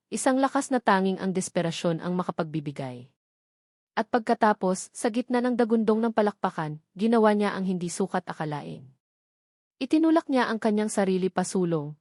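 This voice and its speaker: A Filipino woman reads a dramatic story in a scripted narrator's style. Her pitch sits in the middle for a woman. The recording is dry, with very little room around the voice. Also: a little mellow, no room sound.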